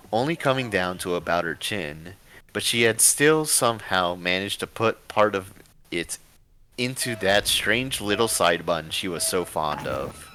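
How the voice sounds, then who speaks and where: Bright, very deep-voiced man reading aloud. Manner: stumbles over words and corrects himself. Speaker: story reader and show host. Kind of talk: reading a story aloud with casual asides.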